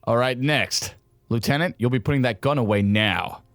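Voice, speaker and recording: An actor playing a menacing, taunting colonel who gives orders as a villain in an audio drama. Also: male voice, medium pitch, moderate pace, lightly acted. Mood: commanding. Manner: taunting, commanding. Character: menacing colonel villain holding hostages at gunpoint. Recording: music behind the voice.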